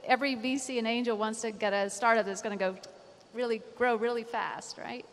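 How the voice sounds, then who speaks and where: A woman moderating a panel with conversational questions, halting a little as she rephrases them. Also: fairly high pitch, fairly even intonation. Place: conference hall panel. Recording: echoey hall with long reverb.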